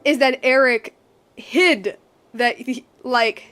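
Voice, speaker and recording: A woman giving casual game commentary, thinking aloud in animated, broken bursts. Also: sits high, slow pace, faint music behind. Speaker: game streamer.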